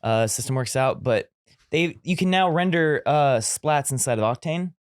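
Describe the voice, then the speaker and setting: A man's voice: mid-range voice, expressive, moderate pace, clean tone, no echo, clean studio sound. A man co-hosting a casual podcast chat, explaining technical ideas at length with frequent restarts. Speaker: podcast co-host.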